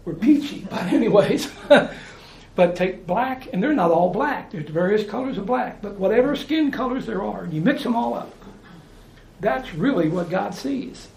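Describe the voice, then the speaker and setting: A man's voice: sits high, lively intonation, moderate pace, gravelly, darkish tone, roomy sound, slight background noise. An American man preaching informally, talking in a conversational way, repeating phrases and restarting his sentences. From United States.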